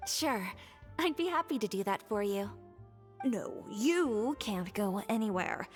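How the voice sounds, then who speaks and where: A woman playing a gentle, composed young lady in a video game, polite and measured in manner. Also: medium pitch, animated, soft background music, lightly acted.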